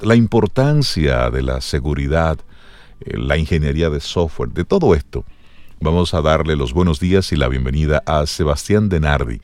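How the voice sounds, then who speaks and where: A man's voice: rumbling low, darkish tone, no echo. A man hosting a broadcast interview show and introducing the topic and guest. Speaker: radio show host.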